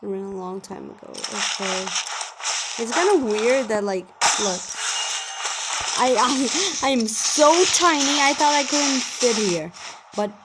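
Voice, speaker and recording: A woman's voice: a little high, slow pace. A woman giving casual commentary while playing a video game, in a very noisy recording. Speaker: gamer playing through a quest.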